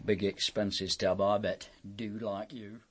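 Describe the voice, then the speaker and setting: Seedy agency boss, chummy, wheedling, rambling sales patter, radio play. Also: male voice, lowish voice, flat delivery, slow, a bit bright. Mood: oily, jovial. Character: sleazy, chummy male escort agency boss peddling drugs. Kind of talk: radio drama acting.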